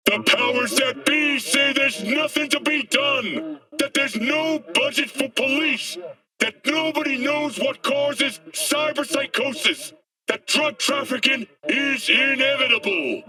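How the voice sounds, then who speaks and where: A man's voice: extremely high pitch, recorded from a distance, over music, rough recording. A man playing a fiery, paranoid anti-corporate street prophet in a video game, haranguing people in a declamatory protest rant. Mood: rousing, defiant. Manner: declamatory, haranguing, drawn-out emphasis. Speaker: street agitator, conspiracy preacher. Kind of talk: ranting protest speech, video game acting.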